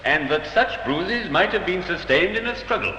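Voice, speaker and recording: A probing defense barrister in an old radio courtroom drama. His forceful, highish voice moves theatrically up and down. It echoes on a muffled recording. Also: animated, normal speed, a little hiss or hum. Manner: probing, theatrical cross-examination. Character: sharp, insistent male defense barrister. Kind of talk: 1950s radio drama acting.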